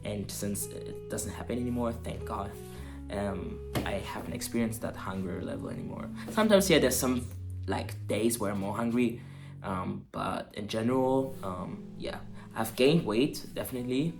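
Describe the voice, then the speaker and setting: A young man giving a casual personal update to camera, relaxed and rambling with lots of fillers. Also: fairly low pitch, normal speed, gravelly. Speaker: vlogger. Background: soft guitar music.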